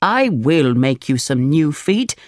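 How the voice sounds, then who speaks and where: A woman's voice: sits low, big pitch swings, slow, no echo, studio quality, exaggerated voice. A woman voicing a poor, lonely male woodcarver in a children's storybook, emphatic and fatherly. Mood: firm, caring. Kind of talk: children's storybook character reading.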